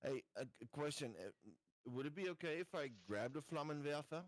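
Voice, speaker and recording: A man's voice: a little high, expressive, fairly quick, no room sound. A middle-aged man chatting casually and jokingly as a player in a tabletop game.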